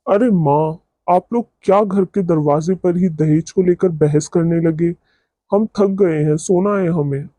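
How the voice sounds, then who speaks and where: A man's voice: fairly high pitch, a little slow, warm tone, no room sound. A man voicing a grown son of a household in a cartoon moral story. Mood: weary.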